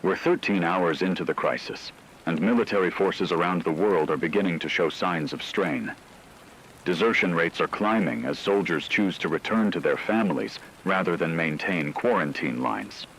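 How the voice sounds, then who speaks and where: A man playing a grave radio news anchor who reads a scripted emergency report in a measured, formal way, over a hissy broadcast. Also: low-pitched, fairly bright tone, poor audio.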